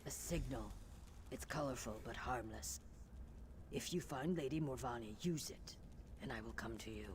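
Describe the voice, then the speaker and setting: An actor playing a calm, fatalistic lizard-folk mystic in a fantasy video game, speaking in measured, cryptic proverbs. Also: male voice, mid-range voice, a little hiss or hum, lightly acted. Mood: earnest.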